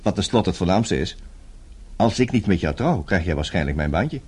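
An actor playing a flustered, earnest suitor in a radio play, stammering and hesitant, quick to take offence. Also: male voice, deep voice, lively intonation, a little mellow, slightly rough. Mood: awkward courting. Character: flustered, boyish suitor, earnest and easily indignant. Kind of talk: Dutch radio play acting.

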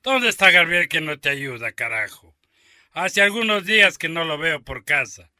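A man's voice: on the high side, big pitch swings, normal speed, sharp tone, no room sound, clean studio sound, heavily acted. A man playing a surly, foul-mouthed idle father, grumbling and bullying, in a radio drama. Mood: surly, resentful.

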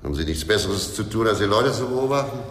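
A man's voice: fairly low pitch, animated, fast, fairly bright tone. An actor playing a brooding, short-tempered middle-aged ex-policeman who drinks, weary and terse, in a German radio crime drama. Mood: probing. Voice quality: gravelly, husky.

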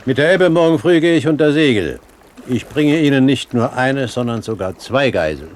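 An actor playing a cool, haughty older gentleman pirate captain in a German radio play, speaking formally and with measured authority. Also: medium pitch, expressive, lightly acted. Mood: cool, commanding.

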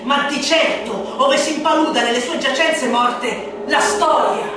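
A woman playing a sardonic, scornful satirical orator in a theatrical radio monologue, declamatory and emphatic, moving between hushed asides and loud outbursts. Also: high-pitched. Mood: emphatic, haranguing. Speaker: actress performing a literary monologue. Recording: reverberant room, distant voice, faint noise.

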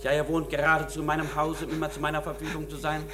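An actor playing a cold, condescending, domineering lawyer in a German radio play, measured and pompous. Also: male voice, a little high, completely flat delivery, close to an everyday voice. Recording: clear background noise and hum.

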